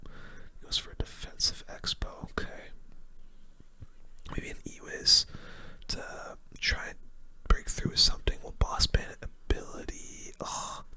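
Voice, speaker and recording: A person giving casual, slangy, mildly grumbling gaming commentary in a breathy ASMR whisper. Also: a little slow, bright, forceful tone, low-quality recording. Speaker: gaming video host.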